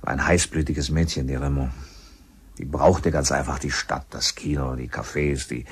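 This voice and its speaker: A chatty old-school country man in a radio play, super deep husky voice, kinda resigned. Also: steady tone, normal speed, heavily acted. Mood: gossipy, resigned. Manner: chatty, rambling, plain-spoken. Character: widowed rustic mountain-lodge helper, gossipy and resigned. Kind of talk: radio play acting, conversational.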